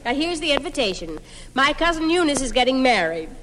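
An actress playing a nagging, long-suffering, sharp-tongued wife in an old-time radio comedy, scolding and sardonic. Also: female voice, sits high, very animated, brisk. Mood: matter-of-fact, defensive. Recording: noisy echoing old radio, loud studio audience.

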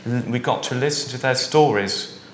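A man's voice: mid-range voice, moderate pace. A man in his thirties from Switzerland, a startup founder talking casually as an interview guest, often tagging his sentences with "yeah?". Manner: relaxed, chatty, tags lines with "yeah?". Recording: echoey room with faint ambient noise.

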